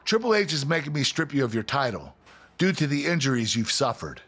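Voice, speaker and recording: A man's voice: medium pitch, sing-song, fairly quick, a bit bright, husky. A man playing a considerate, businesslike wrestling show manager in a video game cutscene. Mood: sympathetic, serious.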